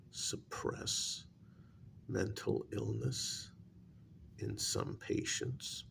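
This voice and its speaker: Very slow, gravelly soft-spoken man. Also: on the low side, a little hiss or hum. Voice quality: breathy half-whisper, gravelly. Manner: deliberate, measured, halting phrases. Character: calm, grave male psychologist and spiritualist counselling a parent. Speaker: ASMR role-play performer. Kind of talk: soft-spoken ASMR role-play, acted consultation.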